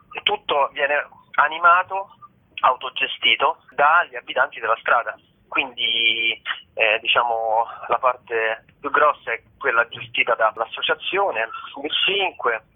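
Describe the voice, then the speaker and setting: A man being interviewed as a festival organiser, explaining things in a casual, hesitant, informal way. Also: mid-range voice. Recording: narrow tinny telephone line.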